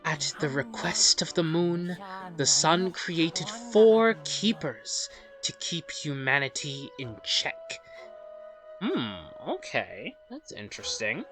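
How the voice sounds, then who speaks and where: A let's play host reads a game's story aloud in a measured, dramatic way and adds offhand, rambling commentary. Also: mid-range voice, sing-song. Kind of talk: reading game story aloud with casual commentary. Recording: music under the voice. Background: game music.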